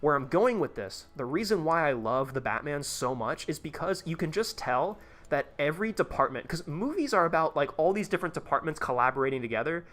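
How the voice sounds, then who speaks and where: A man's voice: fairly high pitch, lively intonation, brisk, a bit bright. A man live-streaming a tycoon game, chatting casually with his viewers in a rambling, profane way while he plays. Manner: rambling, profane, talks to chat while playing.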